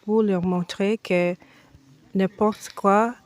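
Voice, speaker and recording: Woman, slow deliberate speech, hesitant, TV guest. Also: medium pitch, steady tone. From United States. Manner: slow, halting, with frequent hesitations and restarts. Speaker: foundation president, guest. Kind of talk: TV interview answers.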